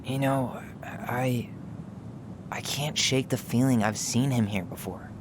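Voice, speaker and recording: An actor playing a guarded young American man in a video game drama, speaking casually and haltingly with some swearing. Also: medium pitch, noisy background, close to an everyday voice. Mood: suspicious. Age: young adult. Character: young man, guarded, searching his troubled childhood memories.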